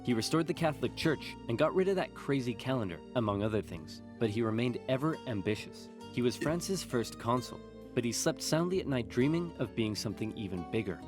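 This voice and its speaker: A man's voice: medium pitch, lively intonation, fairly quick, over music. A man narrating comedic scripted history with a wry, punchy delivery.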